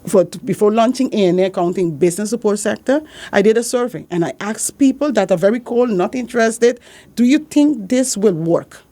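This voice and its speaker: A woman's voice: mid-range voice, lively intonation, brisk, dark tone. Earnest woman with a Dutch accent. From Saint Martin, Caribbean. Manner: earnest advice, repeats key points. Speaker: business support consultant. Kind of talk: interview answers.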